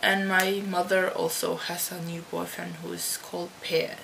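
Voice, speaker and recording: A Dutch-born teenage girl chatting to her webcam at home, answering tag questions slowly with many restarts. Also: lowish voice, flat delivery, creaky, a bit bright, poor audio. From Netherlands. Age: teens. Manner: slow and halting, with many restarts. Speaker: vlogger. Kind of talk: casual talk to camera answering a tag. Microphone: computer webcam. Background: faint hum of computer fan.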